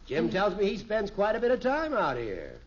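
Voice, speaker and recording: Jovial, flattering middle-aged US businessman, animated, highish voice, old radio sitcom. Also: moderate pace. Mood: jovial admiring. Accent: American. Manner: effusive, jovial. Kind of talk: 1950s radio sitcom acting. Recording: muffled, hissy old radio recording.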